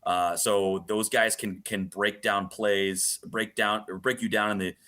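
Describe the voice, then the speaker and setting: A man with a slightly low, bright and forceful voice works as a sports announcer. He is a guest on a radio show, laying out detailed analysis with emphasis. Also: little pitch movement, a little slow.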